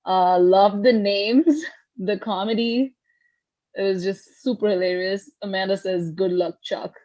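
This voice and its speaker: A woman's voice: medium pitch, steady tone, a little slow, dark tone, dull audio. A woman hosting a casual feedback chat, giggly and enthusiastic as she relays comments, on video-call audio. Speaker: host reading out audience comments.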